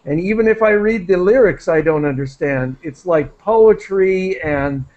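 A man's voice: high-pitched, measured pace. A man who is a native English speaker, chatting casually and encouragingly with students as their conversation teacher on a video call, with many fillers. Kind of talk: casual online class chat. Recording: muffled video-call audio.

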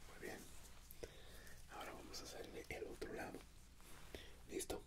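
A man in his thirties whispers softly and breathily in an ASMR medical exam roleplay, gently giving reassuring, step-by-step instructions as a doctor. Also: normal speed. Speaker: ASMR performer playing a doctor. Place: indoors, dark backdrop. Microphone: close microphone.

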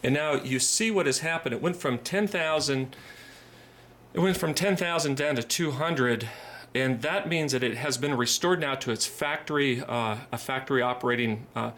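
A man giving an informal, methodical hands-on repair tutorial, speaking haltingly and repeating phrases as he goes. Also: medium pitch, a bit bright.

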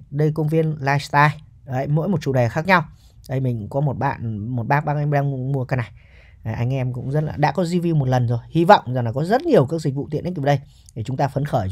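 A man giving a casual, chatty property walkthrough as a real estate agent, often ending points with a checking question. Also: on the high side.

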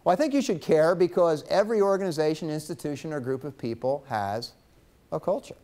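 A man in his fifties giving a lively guest lecture to college students in a classroom, teasing his audience as he talks into a lapel mic. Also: a little high, a little fast. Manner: animated, gestures widely, teasing the audience.

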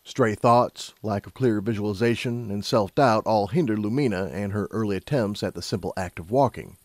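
A man narrating a scripted story as an audiobook narrator, in a measured, dramatic storytelling manner. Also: on the low side, no room sound.

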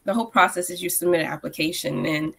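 A young woman, a guest on a video call, telling a story in a lively way with many "like"s and acted-out quotes. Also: lowish voice, fast, vocal fry, slightly rough. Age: young adult. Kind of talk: casual video-call chat. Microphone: video call, laptop mic.

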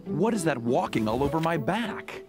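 A man's voice: on the high side, sing-song, normal speed, loud music behind the voice. A man voicing a sleepy, aloof male cat in a children's cartoon, with a languid, dry manner.

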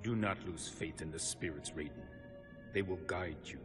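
Man acting deep, solemn warrior shaman. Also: music in the background, close to an everyday voice. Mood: reassuring. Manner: grave, formal, measured. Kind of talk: video game cutscene acting.

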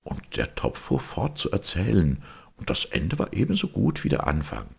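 A man reading a fairy tale aloud as an expressive audiobook storyteller. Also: deep voice, normal speed, vocal fry, slightly rough.

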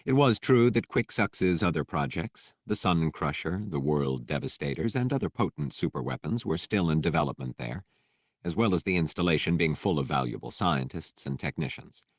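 A man's voice: a bass voice, animated, normal speed, no echo, studio quality. A man reading a novel as scripted audiobook narration.

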